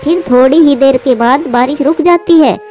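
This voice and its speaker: Woman narrator, high voice, scripted children's storytelling, soft music behind. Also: moderate pace.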